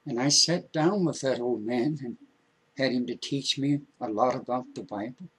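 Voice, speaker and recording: A man's voice: mid-range voice, moderate pace, slightly rough, lightly acted, low-quality recording. A man voicing a patient, remorseful old grandfather, speaking tenderly and repeating his words. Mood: confessing.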